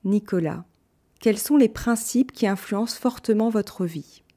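A woman hosting an interview, asking measured, calm questions. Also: mid-range voice. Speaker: podcast host.

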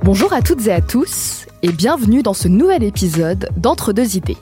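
A young adult woman from Syria, hosting a podcast and reading a prepared introduction in a clear, measured way. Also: medium pitch, very animated, over music. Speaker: podcast host. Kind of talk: scripted podcast narration.

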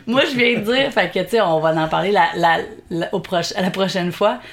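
A young woman with a Québécois accent from Bellechasse, co-hosting a casual podcast chat, laughing often and bantering quickly into a close mic. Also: mid-range voice. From Quebec. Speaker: podcast co-host.

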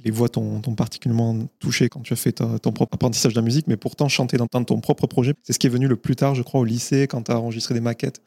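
Fast-talking male podcast host. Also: medium pitch, level tone, mellow, slightly rough, no room sound. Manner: quick, scripted intro then friendly questions. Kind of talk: interview podcast.

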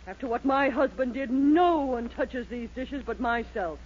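An actress playing a bossy, fussy, indignant middle-aged wife in a 1940s radio sitcom. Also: female voice, sits high, a little slow, a little mellow, slightly rough. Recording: dull, noisy 1940s radio recording.

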